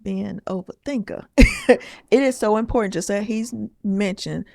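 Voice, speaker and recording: Woman cohost on a podcast, mid pitch with some fry, dry close mic, laughs easy. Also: moderate pace, mellow, dry recording. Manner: reflective, laughs easily, self-correcting. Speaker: podcast co-host. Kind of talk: casual chat about marriage.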